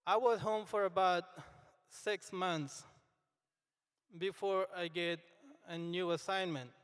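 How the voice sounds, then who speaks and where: A high-voiced young man from Afghanistan, a native Dari speaker, addresses graduates at an unhurried pace and in an earnest, halting manner. His voice is smooth and clear, and it carries a long reverb through a large hall. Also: fairly even intonation, a bit bright. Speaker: commencement speaker. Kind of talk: speech to graduates.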